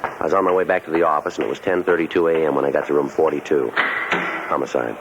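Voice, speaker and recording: Terse American detective, low-pitched and deadpan; muffled vintage radio sound with clear hiss. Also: male voice, fast. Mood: matter-of-fact, dry. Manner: deadpan, clipped, laconic. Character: terse, unflappable police detective sergeant, dry and patient. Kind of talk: 1950s radio drama acting and clipped narration. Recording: muffled, noisy old radio recording.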